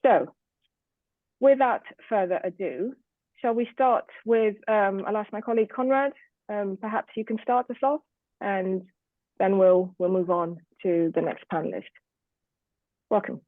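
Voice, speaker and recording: A woman's voice: medium pitch, measured pace, long pauses, dry recording. A woman chairing an online panel in a formal moderating style, heard over muffled, lo-fi call audio. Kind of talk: formal event moderation, addressing an online audience. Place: online video meeting.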